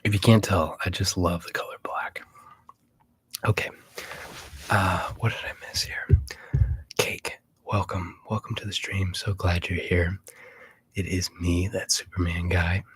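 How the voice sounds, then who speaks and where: A man hosting a casual ASMR livestream, chatting in a breathy whisper and rambling with hesitant pauses. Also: deep voice, level tone, a bit bright. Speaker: livestream host.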